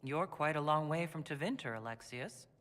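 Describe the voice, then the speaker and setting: An actor playing a composed, forthright fantasy game hero, speaking in a measured, direct and diplomatic way. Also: male voice, a little high, expressive, fairly bright tone. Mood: composed, probing. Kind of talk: video game cutscene acting. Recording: long hall reverb.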